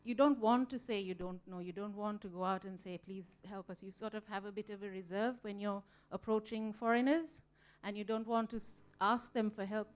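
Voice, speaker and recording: A woman's voice: medium pitch, fairly even intonation, a little fast, clear, resonant tone. A woman from Sri Lanka speaking on a conference panel, earnest and reflective, with frequent ums as she thinks. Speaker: museum volunteer panelist. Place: conference room.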